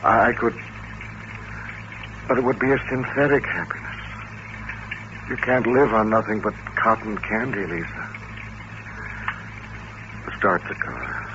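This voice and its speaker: An actor playing a bitter, lonely mutant with hypnotic powers in an old-time radio drama, intense and commanding. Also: male voice, on the low side, measured pace, pauses often, lo-fi sound, natural voice. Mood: resigned, commanding. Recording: noisy, band-limited vintage radio recording.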